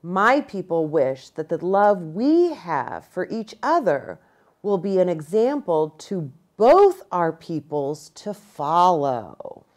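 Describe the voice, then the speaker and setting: An American woman voicing a gentle, resolute young buffalo woman in a storybook, earnest and measured. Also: fairly low pitch, very animated. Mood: earnest, loving.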